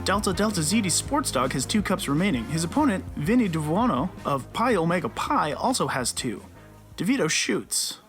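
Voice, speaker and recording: Official announcer voice, authoritative and lively, comedy radio play, music under it. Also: female voice, on the low side, moderate pace. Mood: excited commentary. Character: broadcast announcer, sports commentator and stern college dean. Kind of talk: comedic audio drama acting. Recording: music behind the voice.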